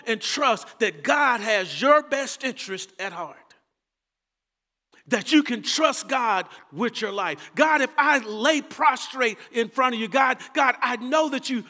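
A Texan man preaching with passion in a high, bright voice. He swings from loud, fervent calls to quiet, low asides. His voice rings with the long reverb of a big church hall. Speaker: pastor. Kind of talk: sermon.

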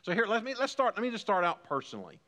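A man's voice: high-pitched, wildly swooping pitch, quick pace, pushed and bright, in a large hall. A man in his fifties preaching a sermon as pastor, building emphasis through repeated phrases. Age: 50s. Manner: preaching with rising emphatic repetition.

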